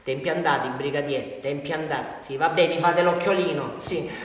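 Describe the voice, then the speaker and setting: A man's voice: a little high, normal speed, close to an everyday voice. An actor playing a rambling, unhinged man with a southern Italian accent confessing a crime in a theatrical radio monologue. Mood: wistful, musing. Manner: rambling, repetitive, addressing a police officer, swinging from muttering to outbursts. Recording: very echoey hall, far from microphone.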